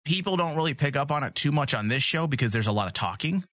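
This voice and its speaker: A man hosting a casual comedy podcast, joking and self-mocking, with a voice that strains and keeps needing his throat cleared. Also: fairly high pitch, lively intonation, quick pace, fairly bright tone, no echo. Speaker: podcast host.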